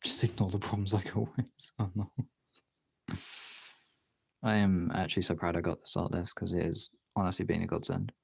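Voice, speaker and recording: A man's voice: low voice, little pitch movement, moderate pace, gravelly, long pauses, slightly rough. A man talking in an informal, rambling way, thinking aloud as he gives a casual art demonstration as a streamer. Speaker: streamer, artist. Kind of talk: casual chat while demonstrating drawing.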